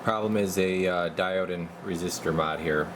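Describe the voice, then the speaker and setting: A man working as a radio repair technician, giving casual, halting bench commentary as he mutters and thinks aloud. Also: deep voice, fairly even intonation, noisy background. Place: indoor radio repair workbench.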